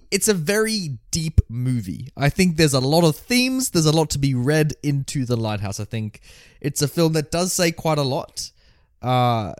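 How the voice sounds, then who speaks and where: Male podcast host for casual review chat. Animated, opinionated and sweary, with a very dry recording. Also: fairly high pitch, no room sound.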